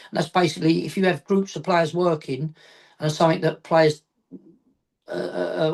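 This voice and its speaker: A man's voice: on the high side, darkish tone. A middle-aged man, a football coach educator, explaining at length on a video call from his home office, wandering and adding afterthoughts. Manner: rambling, repeats points, adds afterthoughts. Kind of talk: casual explanatory chat.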